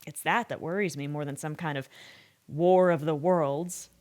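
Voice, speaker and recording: Actress as a thoughtful female expert, low voice, measured, reflective, troubled, naturalistic radio drama interview. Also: fairly even intonation, a little mellow, close to an everyday voice. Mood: earnest, worried.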